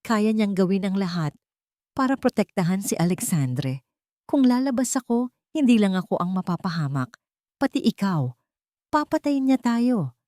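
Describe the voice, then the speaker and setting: A woman's voice: mid-range voice, big pitch swings, moderate pace, pauses often, mellow, no room sound, studio quality, close to an everyday voice. Pained, frightened Filipino woman in hiding, voiced by a narrator. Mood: fearful. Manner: pained, dramatic. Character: frightened, bitter woman hiding from her abusive family. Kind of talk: dramatised storytelling.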